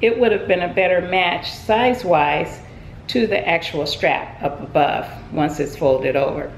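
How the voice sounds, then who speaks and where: A woman's voice: on the low side, unhurried, slight background noise. Chatty woman in an echoey room. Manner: chatty, many fillers and restarts. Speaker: sewing vlogger. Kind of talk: casual show-and-tell.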